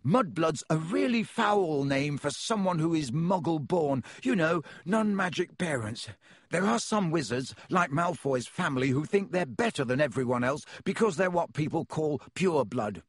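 Man doing a hoarse, sickly schoolboy. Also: on the high side, lively intonation, normal speed, no echo, studio quality, voice pushed up. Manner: indignant, queasy. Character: sick indignant teenage schoolboy wizard.

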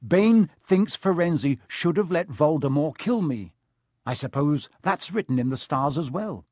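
A man's voice: on the high side, expressive, normal speed, slightly rough, no room sound, clean studio sound, voice pushed up. A man voicing an anxious young schoolboy wizard with an urgent, feverish manner in an audiobook. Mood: feverish, agitated. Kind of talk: audiobook character voice.

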